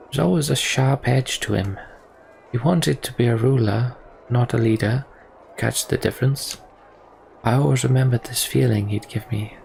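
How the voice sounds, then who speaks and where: A man playing a candid, disillusioned young prince in a fantasy game, speaking in a measured, reflective way. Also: mid-range voice, creaky, darkish tone, slight background noise, low-quality recording. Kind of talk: voiced game dialogue reading.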